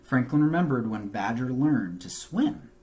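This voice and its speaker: A man narrates a children's storybook in a very lively, swinging intonation. His phrasing is measured and emphatic, like a teacher reading to young children. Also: mid-range voice.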